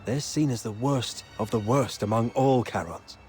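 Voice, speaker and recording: A man's voice: mid-range voice, normal speed, a little mellow, lightly acted. Man acting anxious young town guard in a video game, music behind. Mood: earnest, uneasy. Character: young male town escort guard, anxious, chatty, world-weary but soft-hearted.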